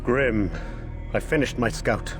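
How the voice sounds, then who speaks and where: Man acting a grim mid-pitched scout. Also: slow pace, music in the background, close to an everyday voice. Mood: grim, dutiful. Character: grim, dutiful male scout, loyal and matter-of-fact. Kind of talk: video game cutscene acting.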